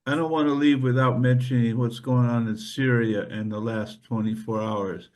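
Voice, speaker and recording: A man's voice: medium pitch, level tone, moderate pace, a little mellow. A man speaking casually as a member of a community group discussion.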